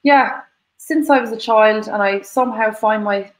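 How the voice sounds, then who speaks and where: A woman's voice: fairly high pitch, normal speed. Reflective Irish woman from Munster. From Munster, Ireland. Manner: reflective, with many fillers and restarts. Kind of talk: casual live-stream chat.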